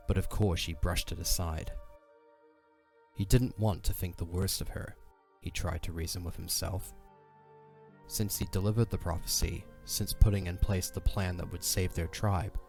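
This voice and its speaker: A man's voice: sits low, darkish tone. A man narrating an audio drama as a scripted story. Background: faint music.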